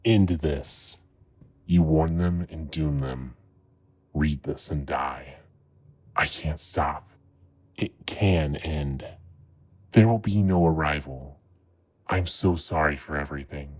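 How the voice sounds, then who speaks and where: A male actor voices a manic, guilt-ridden man for an audio drama. His voice is extremely low and husky. He delivers short, ominous phrases slowly and haltingly. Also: a little mellow, exaggerated voice. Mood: menacing, despairing. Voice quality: deep, husky. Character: manic, guilt-ridden man's written ramblings voiced aloud. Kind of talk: dramatized fan fiction podcast.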